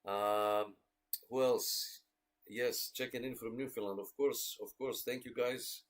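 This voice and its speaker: A man's voice: mid-range voice, steady tone, slow pace. A man hosting a casual livestreamed radio club roll call, greeting and thanking listeners in a friendly way. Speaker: host of a radio club check-in net.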